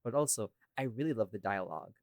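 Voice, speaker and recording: A man's voice: fairly low pitch, animated, quick pace, a little mellow, no room sound, clean studio sound. A man hosting a casual behind-the-scenes podcast chat in a rambling, informal way. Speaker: podcast host and audio creator.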